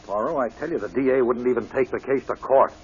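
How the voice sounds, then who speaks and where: An actor playing a blunt, exasperated American police inspector in an old radio drama, slangy and grumbling. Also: male voice, mid-range voice, fast, a little mellow, slightly rough. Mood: frustrated. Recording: dull, muffled old radio broadcast.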